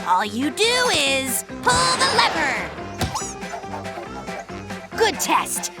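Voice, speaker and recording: An actor voicing an excitable, stubborn, boastful little polar bear boy in a children's cartoon, with exuberant, dramatic announcements. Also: high voice, drawn-out words, gravelly, full character voice. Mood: showy, confident. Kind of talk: children's animated cartoon dialogue. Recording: cheerful children's music behind the voice.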